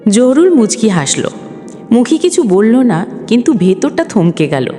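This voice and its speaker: A woman's voice: a little high, sing-song, a little slow, dark tone. A woman reading a scripted emotional story as a storyteller, in a dramatic, sentimental delivery. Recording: long hall reverb, music bed. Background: background music.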